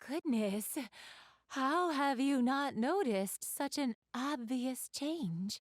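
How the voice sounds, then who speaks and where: A woman's voice: high voice, expressive, drawn-out words, dry recording, clean studio sound, full character voice. A woman playing an elegant young goddess in an anime video game, speaking to the player with polite, genteel, formal diction and a keen gamer's refinement. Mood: flustered.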